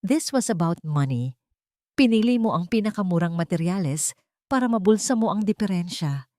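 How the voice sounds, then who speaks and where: Audio drama executive: icy, stern, measured authority, dry studio sound, voiced by a female narrator. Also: lowish voice, animated, dark tone, no echo, natural voice. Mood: cold accusation. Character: cold, commanding executive confronting a betrayer.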